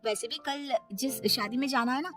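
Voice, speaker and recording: A woman's voice: sits high, normal speed, music in the background, close to an everyday voice. A woman voicing an innocent, honest young daughter-in-law in a cartoon story, speaking matter-of-factly. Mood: innocent, candid. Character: guileless, honest young daughter-in-law who cannot lie.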